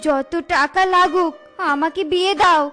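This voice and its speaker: A woman's voice: very high-pitched, fairly even intonation, fairly bright tone, faint music behind. A woman voicing a spoiled, whiny young female jackal in a children's cartoon, with dramatic, demanding wails. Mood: jealous, demanding. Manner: dramatic wailing, drawn-out demanding delivery.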